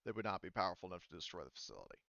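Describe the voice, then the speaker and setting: A man's voice: sits low, big pitch swings, rapid-fire, vocal fry, bright, forceful tone, lo-fi sound. A man acting as game master, explaining rules and backstory in a casual, rambling way with many fillers.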